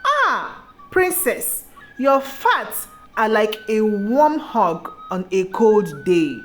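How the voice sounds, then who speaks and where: A woman voicing a kind, gentle village man in love, in a storybook folktale. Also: medium pitch, big pitch swings, extremely slow, soft background music. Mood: warm admiration.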